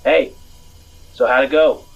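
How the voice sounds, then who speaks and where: A man's voice: mid-range voice, fairly even intonation, measured pace, creaky, lo-fi sound, pitched above the performer's own voice. A man voicing a loyal, matter-of-fact informant in a sci-fi tabletop game, talking casually.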